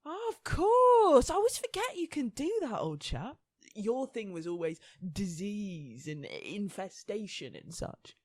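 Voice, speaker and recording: A woman's voice: mid-range voice, very animated, unhurried, a little mellow, no room sound. Woman playing a haughty, dry-sounding immortal, swooping delivery. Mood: scornful. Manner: scornful, sardonic, sweary. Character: haughty, impatient female immortal entity of death and war. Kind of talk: radio play acting.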